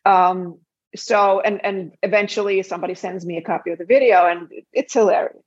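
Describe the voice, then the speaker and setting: A woman with a low, husky, breathy voice tells a story in a relaxed interview chat. She talks in a chatty way and laughs along the way. The sound is dull and lo-fi. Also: brisk. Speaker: singer guest.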